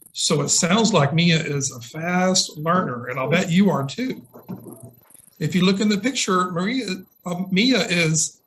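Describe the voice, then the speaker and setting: Middle-aged male storybook reader, high-pitched voice, talks directly to small kids, noisy video call. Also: fairly bright tone. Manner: simple explanations and questions addressed to children. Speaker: nurse and guest storybook reader. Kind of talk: reading a picture book to young children.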